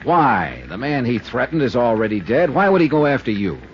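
A man's voice: mid-range voice, sing-song, normal speed, a little hiss or hum, lightly acted. A man playing a hard-boiled investigator who narrates and questions people tersely in a 1950s radio detective drama. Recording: dull vintage radio recording with music.

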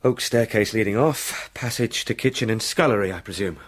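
A husky, nervy young English solicitor. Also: male voice, lowish voice, moderate pace. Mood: composing himself. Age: young adult. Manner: talking to himself in short, clipped phrases. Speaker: actor in a radio drama. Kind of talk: British radio drama acting.

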